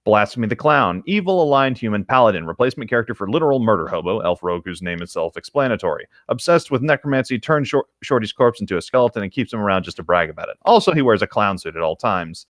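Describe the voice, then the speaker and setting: Man, slightly deep voice, casual co-host, informal story reading, conversational. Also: lively intonation, fast, hardly any pauses, a bit bright, no echo, clean studio sound. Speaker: co-host reading online stories.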